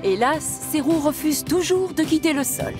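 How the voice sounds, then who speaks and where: A woman's voice: high-pitched, over loud music. Woman narrating a cartoon. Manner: clear, measured storytelling. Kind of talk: scripted storybook narration.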